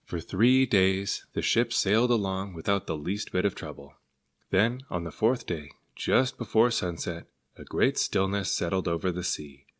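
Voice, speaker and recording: A man reading a children's story aloud as narrator, in an expressive manner. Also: low-pitched, a little slow. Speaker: storybook reader.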